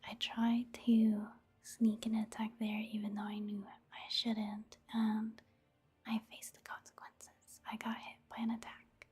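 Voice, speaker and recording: A woman giving soft-spoken ASMR commentary on a video game in a breathy, whispery voice, hushed and halting. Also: medium pitch. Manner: hushed, unhurried, halting delivery. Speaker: gaming ASMR creator.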